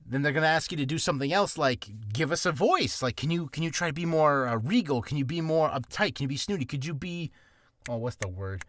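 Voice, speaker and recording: A man's voice: on the high side, lively intonation, brisk, fairly bright tone, dry recording. A man giving beginner voice-acting advice in a casual vlog, nervous and rambling, with self-deprecating asides, from a small, hot, cramped room.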